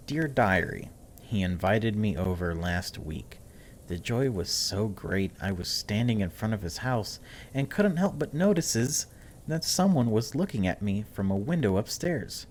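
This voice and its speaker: A man's voice: on the low side, a little hiss or hum. A man hosting a gaming video, reading story text aloud dramatically and breaking off for casual, wry asides.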